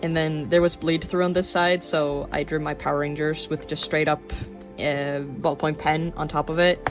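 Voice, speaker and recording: A woman of twenty-one chatting casually in a rambling way while showing her sketchbook, with fillers and quick laughs. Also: sits low, flat delivery. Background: soft background music.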